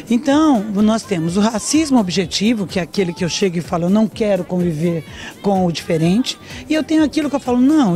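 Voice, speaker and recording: Measured older woman sociologist, lecturing. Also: medium pitch, darkish tone. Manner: measured, lecturing, frequent "né?" tags. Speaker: sociologist, interviewee. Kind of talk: explanatory interview answers. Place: large meeting hall. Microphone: handheld reporter mic.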